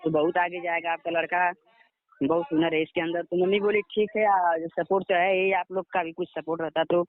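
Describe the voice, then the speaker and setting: High-pitched young man on a muffled phone call. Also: little pitch movement, a little fast, no echo. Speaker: interviewee, aspiring singer. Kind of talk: recounting events in a phone conversation.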